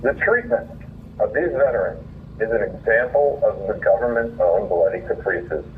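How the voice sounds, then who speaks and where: A man's voice: lowish voice, expressive, normal speed. A man calling in to give his earnest, indignant opinion. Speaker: caller reading own essay. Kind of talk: reading a written opinion piece aloud, then informal remarks. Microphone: phone line. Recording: muffled phone call, distant, faint noise.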